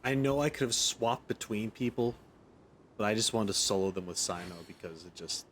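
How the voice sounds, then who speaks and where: A man's voice: on the low side, lively intonation, normal speed, fairly bright tone, a little hiss or hum. A man hosting a gaming video, giving casual, wry commentary as he plays. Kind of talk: casual game commentary, reading dialogue along.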